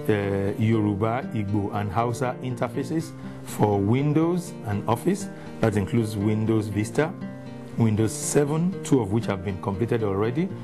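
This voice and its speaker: A man speaking briskly in a documentary interview, listing work done. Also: mid-range voice. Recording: music bed under voice.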